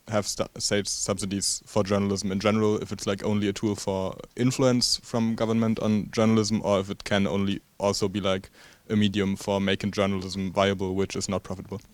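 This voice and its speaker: A man asks a follow-up question in a low, flat voice. He is tentative and leans on "like" as he thinks. The sound is dry. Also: no echo. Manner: tentative, many "like" fillers. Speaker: audience member asking a question. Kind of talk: spontaneous question.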